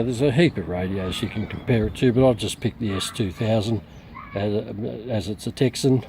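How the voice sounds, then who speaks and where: A middle-aged man with an Australian accent gives an unscripted product demonstration as a reviewer, rambling hesitantly with frequent pauses. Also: on the low side, dark tone. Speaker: radio reviewer. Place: outdoors in a backyard. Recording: clearly noisy outdoor camera recording.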